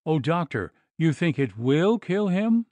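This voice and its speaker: A man's voice: fairly high pitch, sing-song, slow, dry recording, pitched above the performer's own voice, heavily acted. A man reading an audiobook, voicing a timid young wife in tearful, pleading distress.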